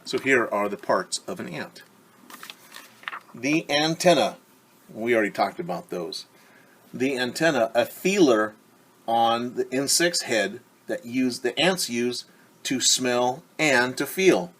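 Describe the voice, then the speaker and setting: Animated man reading to young children. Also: mid-range voice, takes it slowly, slightly rough. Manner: animated, explaining, asks children questions; playful sound effects. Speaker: teacher reading a picture book to children. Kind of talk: children's story time read-aloud.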